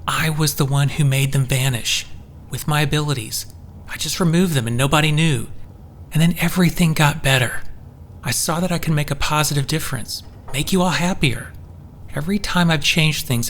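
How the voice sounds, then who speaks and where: A man's voice: a little high, a bit bright, slight background noise. An actor playing an earnest, well-meaning man who justifies himself in an audio drama. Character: Arlo, earnest reality-altering confessor, well-meaning.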